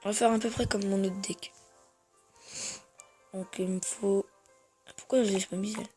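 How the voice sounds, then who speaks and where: A man's voice: sits high, steady tone, moderate pace, warm tone, faint music behind. A man with a head cold giving rambling, hesitant gameplay commentary to his viewers. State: has a head cold, congested. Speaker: gamer.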